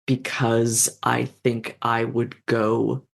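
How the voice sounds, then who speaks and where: A person in a casual podcast conversation who talks in an animated, outspoken way and swears now and then. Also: extremely slow, vocal fry. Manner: animated, swears, big jumps in pitch. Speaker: podcast participant.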